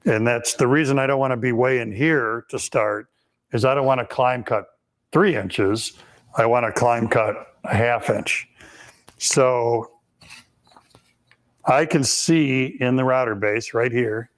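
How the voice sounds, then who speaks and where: A man with a raspy, hoarse voice, hosting a live woodworking Q&A and explaining techniques step by step in a shop, with frequent ums. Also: medium pitch, measured pace. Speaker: woodworking instructor and host.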